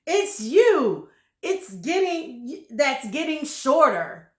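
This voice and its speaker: A woman putting on a spiteful old man from a storybook, jeering and gloating over his frightened wife. His voice swings widely and lands its insults slowly and loudly, in an echoey room. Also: high voice, sing-song, voice pushed up, full character voice. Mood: scornful. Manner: taunting, mock-alarmed, jeering. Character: nasty gloating old husband, cruel trickster.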